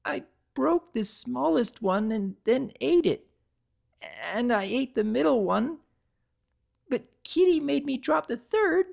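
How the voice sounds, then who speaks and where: A woman voicing an excitable, tender-hearted young female cartoon pony baker, effusive, earnest and girlish. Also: high-pitched, takes it slowly, creaky, pitched above the performer's own voice, full character voice. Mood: distressed.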